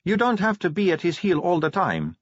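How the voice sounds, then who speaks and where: A male reader puts on a young female vampire officer for a storybook. The voice sits high above his own and swings animatedly, teasing, breezy and sure of itself. The sound is slightly dull. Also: wildly swooping pitch, fairly quick, dry recording, heavily acted. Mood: knowing, teasing. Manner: breezy, needling, coaxing.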